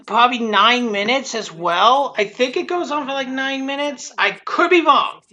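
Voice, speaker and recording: A creator giving casual, unscripted commentary, rambling and repeating themselves in a halting way. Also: high-pitched, bright, forceful tone. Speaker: creator commentating on own abridged series. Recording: dull lo-fi mic. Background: show audio and music playing underneath.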